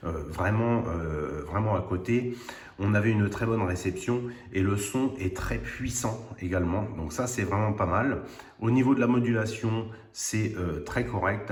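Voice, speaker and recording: A man informally demonstrating a product, earnest and a bit hesitant, repeating words for emphasis. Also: lowish voice. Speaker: radio hobbyist presenter. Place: indoors at home radio station. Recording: roomy, echoing room sound.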